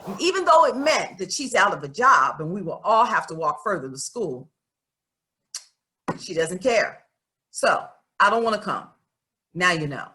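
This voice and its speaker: A woman reads as an outspoken young schoolgirl in a storybook. The voice is bright and forward, with lively swings in pitch. She states her mind in a folksy, emphatic way, earnest and a bit stubborn. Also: normal speed, long pauses. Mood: stubborn, reluctant. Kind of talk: expressive storybook read-aloud in first person.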